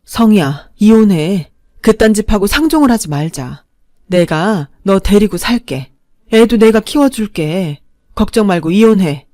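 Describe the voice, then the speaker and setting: A woman's voice: medium pitch, lively intonation, unhurried, dark tone, no echo, studio quality, close to an everyday voice. A woman voicing a protective middle-aged aunt who stands up for her niece, in a story reading. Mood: indignant. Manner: indignant, reassuring.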